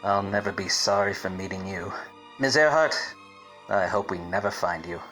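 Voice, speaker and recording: An actor playing a wry, obtuse 1940s private detective in melodramatic, deadpan radio-play style. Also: male voice, sits low, normal speed, soft background music, rough recording. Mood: wry, resigned. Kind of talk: 1940s radio detective acting with hard-boiled narration.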